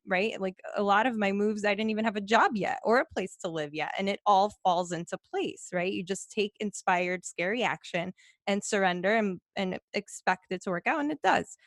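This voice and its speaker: A woman's voice: medium pitch, no echo, clean studio sound. A woman hosting a podcast, chatty and enthusiastic, swearing casually as she responds to her guest. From United States. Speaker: podcast host.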